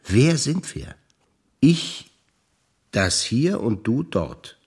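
An actor playing a reflective man recalling memories in a theatrical duologue, speaking in measured, short phrases that often trail off quieter. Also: medium pitch, big pitch swings, husky, full character voice. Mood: musing, hushed. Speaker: stage actor. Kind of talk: theatrical spoken monologue of memories.

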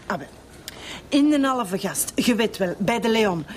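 A woman acting as a brash Flemish mum in a radio serial, cheeky and full of herself. Her voice is medium-pitched and colloquial, with faint noise behind it. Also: expressive, a little slow, natural voice. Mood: cheeky, proud. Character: brash, chatty, self-satisfied mother of a young man.

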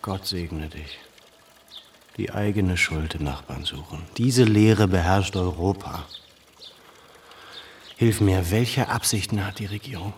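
An actor playing an earnest, reflective Armenian gentleman in a radio drama, respectful and brooding. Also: low-pitched, slow pace, long pauses, slight background noise.

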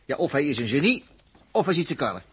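A man's voice: a little high, very animated, quick pace, fairly bright tone, breathy edge, heavily acted. An actor in a 1960s Dutch radio drama playing a hungry, talkative crewman who rambles and exclaims colloquially. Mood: amused. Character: hungry, amused, talkative male crewman watching others.

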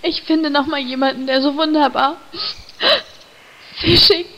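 Woman as high-voiced dramatic elf priestess. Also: fairly even intonation, measured pace, sharp tone, lo-fi sound, heavily acted. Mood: mournful, lovesick. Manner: pouting, melodramatic. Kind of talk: comedy audio drama.

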